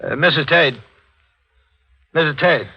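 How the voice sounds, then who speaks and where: An actor playing a probing, matter-of-fact district attorney in a 1940s radio mystery, with clipped, businesslike questioning. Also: male voice, on the high side, a little slow, slightly rough, lo-fi sound. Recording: band-limited 1940s radio recording with organ music.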